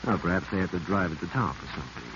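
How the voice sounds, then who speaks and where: Shrewd, courteous foreign official, deep voice, polite formal delivery, dull and noisy old radio sound. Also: male voice, a bit bright. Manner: formal, polite, measured phrasing. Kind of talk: 1940s radio acting.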